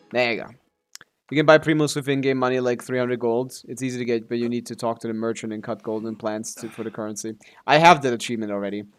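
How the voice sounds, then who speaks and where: A man casually commentating on a game livestream, swearing freely as he reacts aloud and talks to himself and his chat. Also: mid-range voice. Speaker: game streamer.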